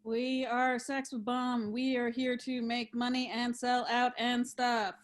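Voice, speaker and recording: Flat, deadpan young woman drummer, acted. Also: on the high side, a little slow, slight background noise, natural voice. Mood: bored. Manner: deadpan, bored.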